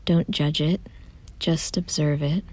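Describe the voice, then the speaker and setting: A woman softly guiding a meditation in a gentle, calm, unhurried way, often close to a whisper. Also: deep voice, dry recording. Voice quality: soft, breathy, often near a whisper. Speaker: meditation guide. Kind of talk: soothing guided meditation, scripted.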